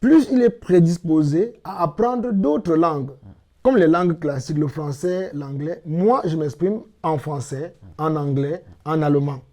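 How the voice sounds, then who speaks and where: A middle-aged man from Cameroon answering interview questions in a measured, explanatory way with emphatic repetitions. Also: on the high side, warm tone. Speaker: interviewed guest, language teacher.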